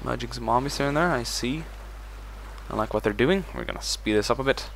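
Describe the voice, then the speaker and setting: Guy doing let's play commentary, middle pitch, thinking out loud about his next moves. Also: brisk, vocal fry, slight background noise. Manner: thinks aloud while managing a strategy game. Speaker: gaming channel host.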